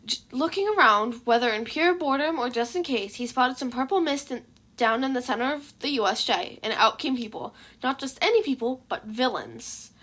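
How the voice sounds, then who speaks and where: A woman reading fan fiction aloud as an expressive story narrator. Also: sits high, moderate pace.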